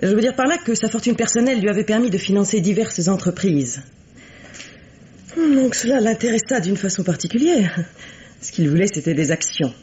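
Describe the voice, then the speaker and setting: An actress playing a composed, coolly bitter middle-aged widow in a French radio mystery play, speaking formally and deliberately. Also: female voice, mid-range voice, animated, mellow, breathy edge. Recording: dull, lo-fi vintage radio recording.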